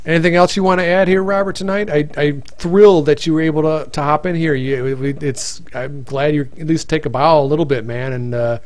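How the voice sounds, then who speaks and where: A man's voice: on the high side, fairly even intonation, a little fast, hardly any pauses. Male stream host, casual and rambling, voice wearing out. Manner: rambling, many restarts and fillers. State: voice getting tired. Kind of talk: casual live-stream chat.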